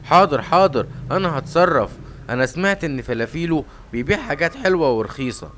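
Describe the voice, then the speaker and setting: Rushed cartoon lion dad, Egyptian accent, quick, faint room hiss. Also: male voice, on the high side, expressive, fairly bright tone. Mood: eager. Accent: Egyptian Arabic. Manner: hasty, eager to please. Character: hurried, obliging father lion, king of the jungle.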